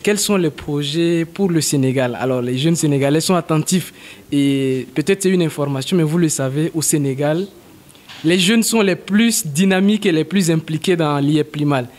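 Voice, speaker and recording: A male interviewer who praises his guest warmly and asks long, winding questions. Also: fairly high pitch, normal speed.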